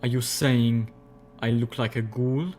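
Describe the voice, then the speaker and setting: A man's voice: mid-range voice, little pitch movement, normal speed, darkish tone, close to an everyday voice. A man voicing a proud, wary female zebra, a guarded newcomer in an audiobook fantasy story. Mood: offended, confused.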